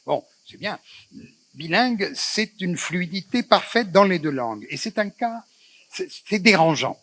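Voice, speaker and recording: Guy whose first language was spanish, moderating a panel, kinda high voice, super dry sound, thinks out loud. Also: big pitch swings, no room sound. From Morocco. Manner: measured, reflective, with frequent pauses. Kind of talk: festival panel discussion.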